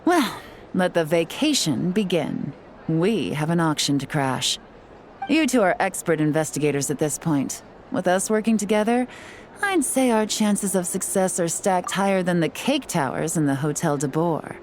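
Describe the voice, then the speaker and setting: An actress playing a cool, shrewd and composed operative in a video game, speaking with calm assurance. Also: female voice, fairly low pitch, normal speed, a little hiss or hum, lightly acted. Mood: calm, confident. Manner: calm, assured, smooth-talking.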